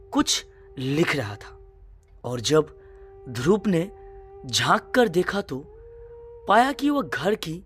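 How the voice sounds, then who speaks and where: A woman narrating a scripted suspense story in a dramatic, measured way, in short phrases. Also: lowish voice.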